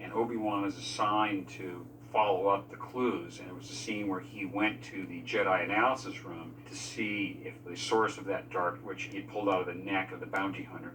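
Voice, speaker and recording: A man giving measured, explanatory commentary as a filmmaker in a behind-the-scenes interview. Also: low voice, sharp tone, recorded from a distance, slight background noise, poor audio. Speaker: film crew member discussing editing decisions.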